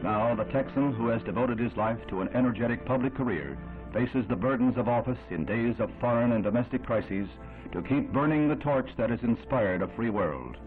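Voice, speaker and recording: A man's voice: medium pitch, lively intonation, moderate pace. Solemn American man narrating a tinny vintage newsreel. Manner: solemn, dramatic, declamatory delivery. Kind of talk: 1960s newsreel scripted narration. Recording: tinny band-limited vintage newsreel, music behind.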